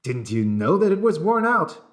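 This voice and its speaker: A man's voice: high voice, sing-song, moderate pace, a bit bright, voice pushed up. A man voicing a panicky, demanding train passenger in an audiobook, stammering and urgent. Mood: panicked.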